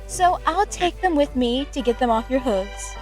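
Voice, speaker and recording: Woman voicing a high-pitched, affectionate pony mother. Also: expressive, moderate pace, a little mellow. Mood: warm, motherly. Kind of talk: dramatic reading of cartoon fan fiction. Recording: music under the voice.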